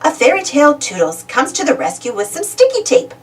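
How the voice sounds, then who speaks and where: A woman reading a children's storybook aloud as an animated narrator. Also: high voice, fairly quick. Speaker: storybook reader. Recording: distant mic, music behind.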